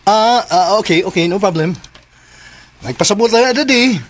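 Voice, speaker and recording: A man's voice: high voice, moderate pace. A man playing a flamboyant, possessive, scheming bodyguard in a Filipino radio drama, exclaiming in a theatrical sing-song. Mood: sulky, hurt.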